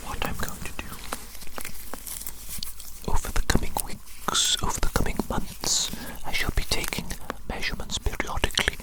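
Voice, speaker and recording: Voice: lightly acted, low-quality recording. Casting: ASMR performer as eccentric evil doctor and doting creator, fully whispered, repetitive, soothing, quaintly formal, science-obsessed. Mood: obsessive, eager. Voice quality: breathy unvoiced whisper. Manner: repeats phrases slowly, formal old-fashioned wording, soothing.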